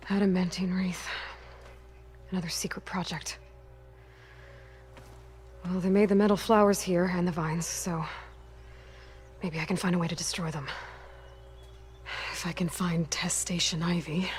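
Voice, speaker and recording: An actress playing a determined, guarded young woman explorer in a video game, plain-spoken and wry, with a fairly low voice. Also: flat delivery, measured pace, pauses often, slight background noise, close to an everyday voice. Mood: wry, musing.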